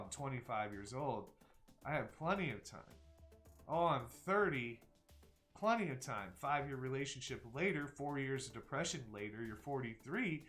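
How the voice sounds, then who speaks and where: A man's voice: medium pitch, fairly bright tone. A man in his forties hosting a live stream, talking candidly and reflectively in casual chat, often trailing off and restarting. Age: 40s. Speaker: stream host. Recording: faint music behind.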